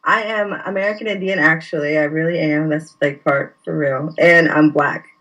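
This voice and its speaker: A woman's voice: sits low, steady tone, normal speed, fairly bright tone, dull audio. A woman from New York, a professional wrestler, chatting as a guest in a casual interview and laughing easily. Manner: friendly, giggly, easygoing.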